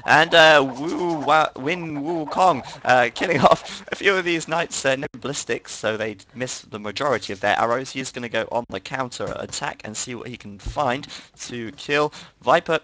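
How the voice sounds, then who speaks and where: A man's voice: mid-range voice, normal speed, few pauses, bright, forceful tone. A man giving chatty live play-by-play commentary on a strategy game stream, often correcting himself. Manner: chatty, self-correcting, laughs at own slips.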